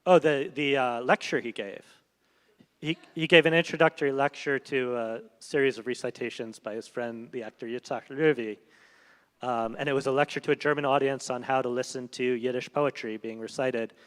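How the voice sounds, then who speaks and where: A man answering audience questions on a panel, thoughtful and measured, with many fillers and restarts. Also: mid-range voice, fairly even intonation, fairly bright tone, smooth. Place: event hall on stage. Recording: reverberant hall.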